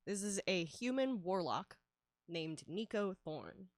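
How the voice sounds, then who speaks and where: Female artist streamer, congested and nasal from a cold, lowish voice, dry mic, chatty and casual. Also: sing-song, slow, no echo. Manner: chatty, laughs often, many fillers. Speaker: livestreaming artist. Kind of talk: casual streaming chat while drawing.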